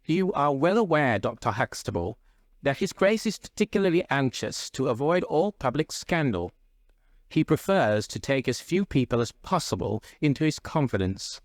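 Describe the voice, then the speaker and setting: A man's voice: medium pitch, very animated, normal speed, no room sound, studio quality, pitched above the performer's own voice, lightly acted. A man voicing an officious young private secretary in a classic story, with an incisive, formal manner. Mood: firm, reproachful.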